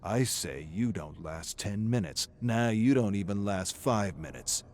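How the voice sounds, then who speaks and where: A man plays a needling betting rival with a fairly low voice and a mocking, goading delivery. He is a sly trash-talker from a dramatic audiobook, heard over faint noise. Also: expressive, measured pace, darkish tone. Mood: taunting. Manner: taunting, goading.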